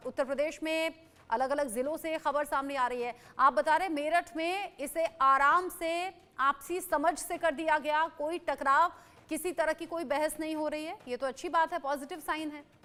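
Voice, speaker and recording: A woman's voice: sits high, normal speed, fairly bright tone. A woman anchoring live television news, stating and repeating key points emphatically and putting questions to a correspondent.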